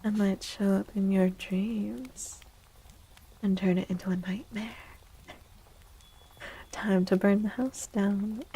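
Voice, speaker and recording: A woman hosts a soft-spoken ASMR livestream in a mellow, breathy voice. She takes her time, gently stretching words and often dropping into a whisper. Her delivery is quiet, relaxed and chatty. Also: mid-range voice, poor audio. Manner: slow, gentle, drawn-out words. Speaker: livestream host.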